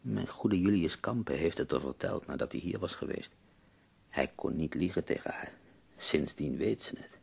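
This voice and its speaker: An ailing poet for a radio drama, with a very deep, creaking voice. He sounds melancholy and weary yet dryly wry, with a husky, breathy edge that can sink toward a whisper. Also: animated, moderate pace, a little mellow, full character voice. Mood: wistful. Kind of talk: radio play acting.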